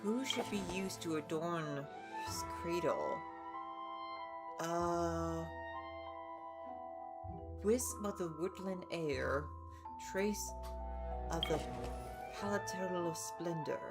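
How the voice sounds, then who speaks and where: A woman livestreamer giving casual, playful, expressive commentary while she plays a video game. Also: lowish voice, very slow, long pauses. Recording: game music behind the voice. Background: video game music.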